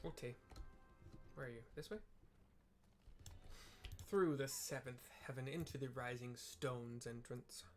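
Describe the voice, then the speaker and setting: A man's voice: mid-range voice, measured pace, pauses often, darkish tone, faint music behind. A man casually narrating a game playthrough, describing what is on screen with offhand, muttered asides. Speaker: gaming video creator.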